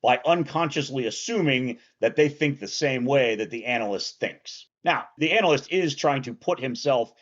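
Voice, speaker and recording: Earnest measured man, slightly dull sound. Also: a bit bright. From South Central Pennsylvania. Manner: earnest, measured, trails off low at line ends. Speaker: commentator on law and politics. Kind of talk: reflective scripted commentary.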